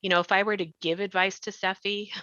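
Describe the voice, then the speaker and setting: A woman's voice: medium pitch, little pitch movement, a little fast, sharp tone, no room sound. A woman explaining her views as an advocate in a panel discussion, on slightly muffled audio.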